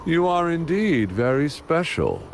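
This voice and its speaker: Gloating video game bad guy, slow n theatrical, super swoopy voice. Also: fairly high pitch, huge pitch swings, gravelly, exaggerated voice. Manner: taunting, theatrical, drawn-out delivery. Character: gloating theatrical male villain, smug and fanatical. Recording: loud music behind the voice.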